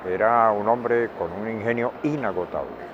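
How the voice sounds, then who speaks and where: An older man with a Colombian accent answering interview questions in a deliberate, emphatic way, often repeating words for weight. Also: fairly low pitch. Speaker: interviewee, veteran radio director and journalist. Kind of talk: reflective interview talk. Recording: dull lo-fi, clear outdoor traffic noise.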